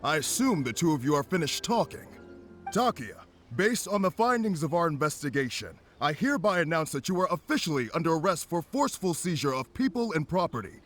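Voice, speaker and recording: A man's voice: fairly high pitch, very animated, fairly quick, fairly bright tone, soft background music. An actor playing a stern male government officer in a video game, speaking in a formal, authoritative way.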